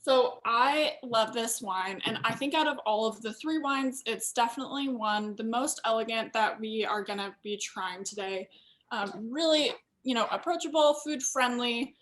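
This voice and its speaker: A woman's voice: fairly high pitch, moderate pace, a bit bright, poor audio. A woman hosting an online tasting event, presenting in a casual, conversational way. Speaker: host of a winery virtual tasting.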